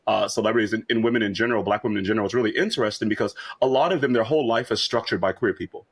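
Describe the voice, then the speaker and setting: A man's voice: on the low side, breathy edge. A man from rural Kansas arguing his points in a casual panel discussion. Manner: argumentative, rapid and emphatic.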